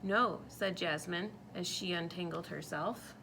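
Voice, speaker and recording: A woman reading a picture book aloud for children, pausing to ask them questions about the story. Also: medium pitch, animated, slight background noise, low-quality recording. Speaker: storytime reader.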